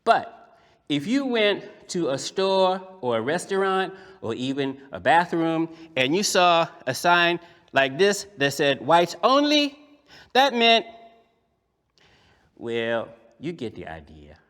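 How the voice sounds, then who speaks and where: A man voicing a lively, cheeky young boy puppet, telling a story to children in an emphatic, conversational theatrical style with deliberate pauses. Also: on the high side, heavily acted. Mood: explanatory. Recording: echoey hall, long reverb.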